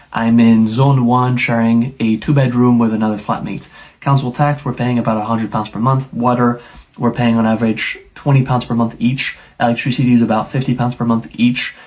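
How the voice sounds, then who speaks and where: A man in his twenties with a mid-pitched voice, reeling off budget figures in a casual, brisk way. He drops short offhand remarks between the numbers. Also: a little mellow. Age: 20s. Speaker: personal finance creator. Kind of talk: casual explainer.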